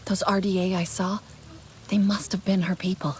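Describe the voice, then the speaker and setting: An actress voicing a steady, caring young warrior woman in a video game. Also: mid-range voice, moderate pace, a little hiss or hum, lightly acted. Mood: resolute.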